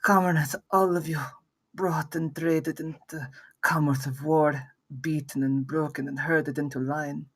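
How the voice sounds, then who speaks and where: Woman acting a husky, dying, bitter warrior monk. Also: low-pitched, fairly even intonation, measured pace, no echo, heavily acted. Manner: weary, scornful, broken by coughs and gasps. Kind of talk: dramatic script table read.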